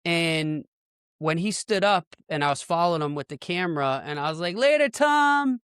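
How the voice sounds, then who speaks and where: A man's voice: a little high, lively intonation, moderate pace, a bit bright, smooth, no echo, clean studio sound. A middle-aged American man hosting a casual podcast chat in an informal, slangy way. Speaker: podcast host.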